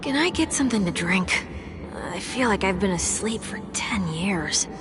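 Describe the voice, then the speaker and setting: An actress playing an easygoing, groggy young woman in a video game, breathy and casual. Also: mid-range voice, expressive, close to an everyday voice. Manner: unhurried, casual. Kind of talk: scripted video game voice acting. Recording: music behind the voice.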